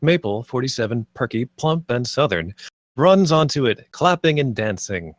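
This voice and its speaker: Low-ish male screenplay narrator, dry sound. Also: lively intonation, moderate pace, no echo. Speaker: stage directions narrator. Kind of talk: scripted narration at a screenplay table read.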